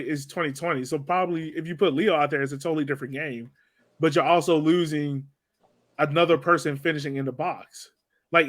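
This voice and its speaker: Male podcast host, casual post-game sports chat, higher register, very dry recording. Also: fairly bright tone, no room sound. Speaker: podcast host moderating a soccer discussion.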